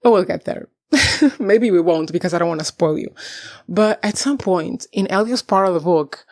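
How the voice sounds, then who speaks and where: A young woman from Portugal chatting casually to camera about books, rambling and animated, laughing often and swearing freely, her voice on the deep side. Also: moderate pace, dry recording, clean studio sound. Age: young adult. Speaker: book vlogger.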